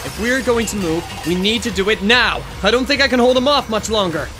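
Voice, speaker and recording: A man's voice: sits high, expressive, a little fast, over loud music. A man playing a protective, urgent pony guard captain in an audio drama. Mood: commanding.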